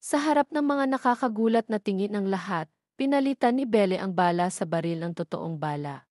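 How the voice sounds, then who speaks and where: A woman's voice: medium pitch, animated, a little fast, darkish tone, no room sound. Filipino woman narrating a story, very dry recording. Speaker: audio drama narrator. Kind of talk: scripted dramatic story narration.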